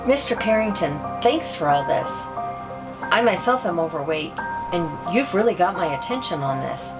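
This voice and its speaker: A woman's voice: on the low side, animated, normal speed, close to an everyday voice, rough recording. A woman playing a concerned, curious mother who interviews an expert in a scripted drama, wide-eyed and sympathetic. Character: concerned, curious mother seeking diet advice. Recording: clear music bed behind the voice.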